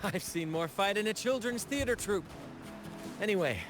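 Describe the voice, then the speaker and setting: A man's voice: high voice, expressive, normal speed. An actor playing a confident young seafaring showman captain in a video game, with a theatrical, playfully poetic manner. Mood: playful, amused. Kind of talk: video game character dialogue. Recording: loud music behind the voice.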